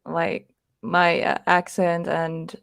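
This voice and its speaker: A young woman from Finland talks in English with a clear Finnish accent. Her voice sits low with a gravelly edge, and the sound is close and dry. She chats casually and hesitantly, filling gaps with likes and ums. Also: completely flat delivery, takes it slowly, fairly bright tone, no room sound. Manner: hesitant, many fillers, frequent laughs. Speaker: guest. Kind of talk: casual interview chat.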